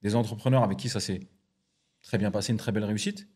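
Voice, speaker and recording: Guy hosting a podcast in a studio, kinda husky, lowish voice, laid back with lots of "euh". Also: fast talker. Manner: relaxed, hesitant with fillers. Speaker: podcast host. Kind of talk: casual interview chat.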